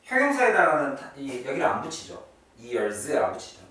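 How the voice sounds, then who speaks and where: A man's voice: mid-range voice, wildly swooping pitch, normal speed, sharp tone. A man teaching an English class in a chatty way, often checking in with his students. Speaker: English teacher at a private academy. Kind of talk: classroom lesson, explaining vocabulary and grammar. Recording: echoey room, distant mic, faint noise.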